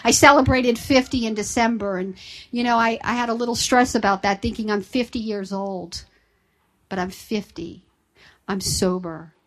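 A woman's voice: on the high side, moderate pace, low-quality recording. A woman, sharing her personal recovery story with an audience in a candid, self-deprecating way. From Southern United States. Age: 50s.

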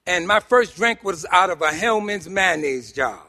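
A man with a New York accent speaks in a high-pitched, forceful voice that rings through a reverberant hall. He tells his own story like a seasoned storyteller, animated and humorous, leaning on pauses and repetition for emphasis. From Harlem, New York City, United States. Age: middle-aged or older. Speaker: speaker at a recovery meeting. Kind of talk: personal testimony told to an audience with humor. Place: meeting hall, speaking to a group. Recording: echoey hall with long reverb.

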